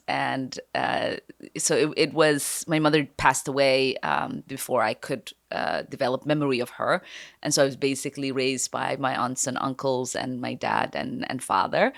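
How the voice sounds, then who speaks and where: A woman in her forties from Somalia, a congresswoman talking through her life in a thoughtful, unhurried interview, with many fillers and the odd soft laugh. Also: rumbling low, vocal fry, clear, resonant tone, no echo, clean studio sound. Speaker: guest congresswoman. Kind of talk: conversational interview.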